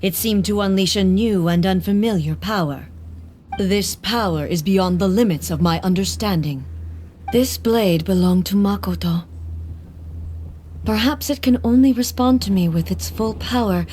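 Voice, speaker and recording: A woman playing a solemn, dignified warrior deity in scripted video game dialogue, formal and measured. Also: medium pitch, darkish tone, a little hiss or hum, natural voice. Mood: solemn, resolute.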